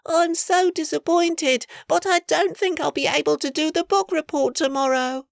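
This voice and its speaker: A woman's voice: high voice, steady tone, gravelly, no echo, studio quality, pitched above the performer's own voice. A woman doing a feeble sick little prince. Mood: weak, whiny. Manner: weak, drawn-out whine. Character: sick young boy prince, feeble and fussy.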